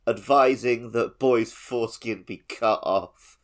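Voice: mid-range voice, slow pace. A podcast co-host chatting casually and conversationally about a video game.

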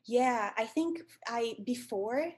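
A woman in her thirties from Brazil with a Brazilian Portuguese accent, speaking as a guest in a podcast interview, thoughtful and measured, with frequent pauses. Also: fairly high pitch, expressive, breathy edge. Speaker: guest, dance professor.

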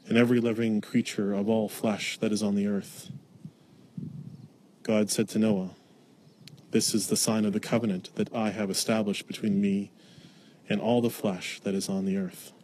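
A man reading scripture aloud outdoors on a forest trail. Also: lowish voice. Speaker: scripture reader. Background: gentle breeze, birds and squirrels.

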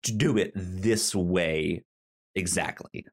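Halting, stammering podcast host in casual chat. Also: sits low, darkish tone, breathy edge. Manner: halting, stammering, repeats words. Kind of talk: casual film review chat.

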